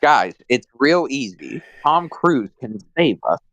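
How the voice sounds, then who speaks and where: A man's voice: mid-range voice, very animated, takes it slowly, fairly bright tone, no room sound, poor audio. A man co-hosting a casual movie commentary, trading jokey wisecracks. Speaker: podcast co-host.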